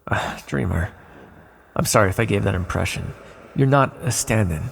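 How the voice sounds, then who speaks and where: Man playing a gravelly, gentle ancient villain. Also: lively intonation, exaggerated voice. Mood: bittersweet, affectionate. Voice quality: low, heavily creaky voice. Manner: soft, deliberate, tender, with pauses. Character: ancient sealed-away male villain, gentle, wistful, affectionate. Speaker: audio roleplay performer. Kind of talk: intimate ASMR fantasy roleplay.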